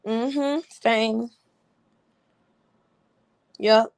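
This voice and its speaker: Slightly raspy woman, blunt and animated. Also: on the high side, drawn-out words, poor audio. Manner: animated, blunt, frequent laughs and interjections. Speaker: livestream host. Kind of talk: casual commentary chat.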